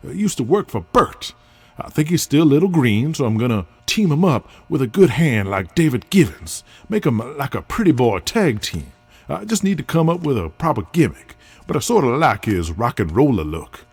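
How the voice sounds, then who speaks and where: Man acting a husky, animated wrestling booker over music. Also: medium pitch, normal speed. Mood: upbeat. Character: brash, persuasive wrestling booker and star with big plans. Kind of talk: audio drama dialogue. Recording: music bed under the voice.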